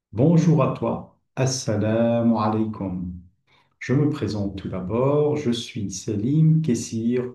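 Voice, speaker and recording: Middle-aged male teacher, persuasive promo read, mellow tone, echoey room. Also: fairly low pitch. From Kabylie, Algeria. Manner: addresses the listener informally, calm and persuasive. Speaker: Arabic teacher and platform founder. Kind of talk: scripted promotional presentation.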